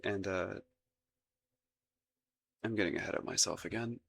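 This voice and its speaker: A man's voice: fairly low pitch, steady tone, unhurried, vocal fry, a bit bright, breathy edge, exaggerated voice. A man acting a shy, lovestruck young crown prince in a romantic roleplay audio, hesitant and stammering, with formal courtly phrasing. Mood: grateful, elated.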